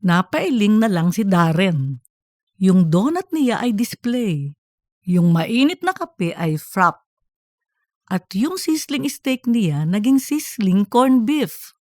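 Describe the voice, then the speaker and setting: A woman reading scripted comedic fiction as an audiobook narrator, in a lively storytelling way. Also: medium pitch, slow pace, no echo, studio quality.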